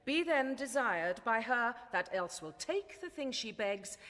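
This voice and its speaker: A cold, haughty king's daughter in a classical radio drama. Her voice is fairly high and swings widely as she delivers formal, measured reproach, wrapped in long reverb. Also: very animated, fairly bright tone. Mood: stern reproach. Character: cold, haughty royal daughter scolding her aged father.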